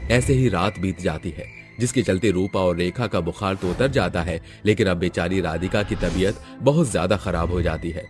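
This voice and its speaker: A man's voice: low-pitched, a little mellow. A man reading a scripted moral story as its narrator.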